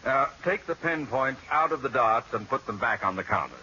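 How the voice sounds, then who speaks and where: A man's voice: mid-range voice, expressive, normal speed, lightly acted. Man as a hearty banker boss, noisy recording. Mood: jovial. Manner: hearty, teasing, avuncular. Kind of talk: 1940s radio sitcom acting. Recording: very noisy, muffled old radio recording.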